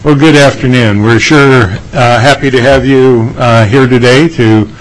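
A man chairing a legislative committee hearing, talking in a folksy, halting way with many fillers. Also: mid-range voice, fairly even intonation, low-quality recording.

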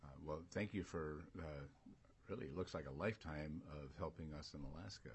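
An American man chairing a government committee meeting, speaking informally in a halting, folksy and courteous way. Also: very deep voice, husky. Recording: noticeable background room noise.

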